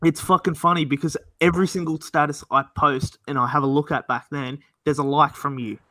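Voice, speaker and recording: A man's voice: a little high, steady tone, a little fast, sharp tone, dry recording. An Australian man in his twenties, co-hosting a podcast, reminiscing with friends, laughing often and swearing freely. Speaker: podcast co-host. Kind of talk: casual chat among mates.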